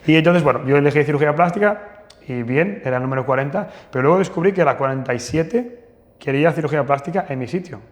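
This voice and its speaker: A man's voice: on the high side, fast talker. Man telling stories, hesitant. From northern Portugal. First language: Portuguese. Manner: hesitant, many restarts and fillers, animated anecdotes. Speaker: plastic surgeon guest. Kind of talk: casual interview storytelling. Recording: long hall reverb.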